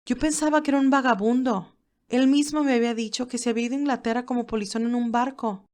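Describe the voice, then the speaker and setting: A woman's voice: on the high side, steady tone, fast, a little mellow, voice pushed up, natural voice. A woman voicing an emotional, defensive young nurse in acted audiobook dialogue, earnest and emphatic. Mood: defensive, hurt.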